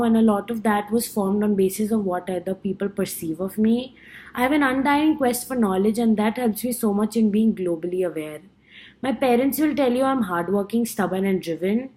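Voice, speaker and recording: A woman's voice: fairly high pitch, dark tone. A young woman from India with an Indian accent, earnestly reading her class speech with small stumbles. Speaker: student.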